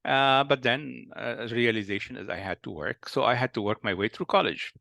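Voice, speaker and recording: A man's voice: mid-range voice, normal speed, creaky, bright, forceful tone, no echo, clean studio sound. A Lebanese-accented man around sixty, a company founder telling his life story in a hesitant way, with many fillers and restarts. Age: about 60. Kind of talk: personal life story monologue.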